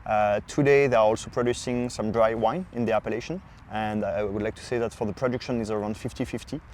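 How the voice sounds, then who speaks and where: French man explaining things in halting English. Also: on the low side, steady tone, darkish tone, a little hiss or hum. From France. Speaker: podcast sommelier presenting wine regions. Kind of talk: informal explanatory wine talk.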